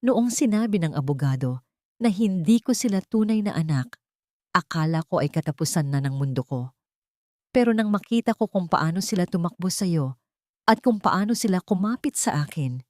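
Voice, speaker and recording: A woman's voice: lowish voice, expressive, normal speed, darkish tone, dry recording, clean studio sound, natural voice. A woman voicing an earnest, devoted father in an audio drama, speaking sincerely and solemnly. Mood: heartfelt. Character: David, earnest, devoted adult father and wealthy employer. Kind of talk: Tagalog audio drama dialogue.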